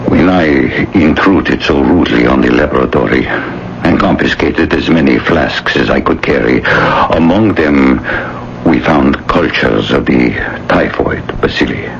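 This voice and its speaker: An actor playing a grave, courteous police officer in a radio drama, speaking formally and deliberately. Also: male voice, very deep voice, vocal fry, full character voice. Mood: solemn, considerate. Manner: formal, deliberate, politely apologetic. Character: grave, courteous male police officer delivering grim news. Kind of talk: 1980s radio drama acting. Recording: dull, noisy old radio broadcast.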